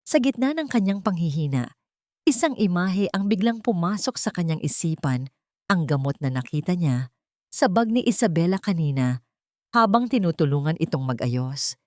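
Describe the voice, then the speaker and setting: Lowish-voiced woman narrating dramatic stories. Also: big pitch swings, moderate pace, darkish tone, no room sound, clean studio sound. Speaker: storyteller.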